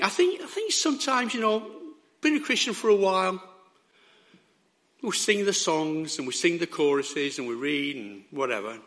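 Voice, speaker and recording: Hoarse preacher, high and animated. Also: male voice. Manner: emphatic, checks in with the listeners. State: hoarse, sore throat. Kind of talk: sermon.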